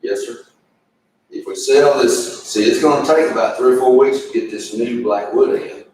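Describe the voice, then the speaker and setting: A man's voice: mid-range voice, normal speed, darkish tone. A polite, plain-spoken man, speaking casually and deferentially as an employee at a meeting. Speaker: county employee addressing commissioners. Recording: echoey meeting room, distant mic, faint noise.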